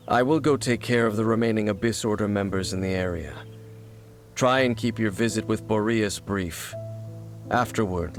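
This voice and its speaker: A mysterious swordsman with a low voice who speaks in calm, measured, cryptic warnings, played by a man. He keeps himself aloof. Clear game music sits under him. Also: lightly acted.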